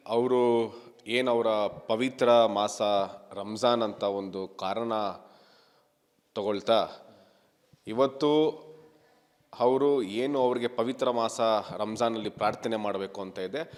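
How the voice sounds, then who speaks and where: A man's voice: lowish voice, measured pace, long pauses. Emphatic man in reverberant sound. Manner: emphatic, repeats phrases for stress. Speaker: activist leader being interviewed. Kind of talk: forceful statement to a news reporter.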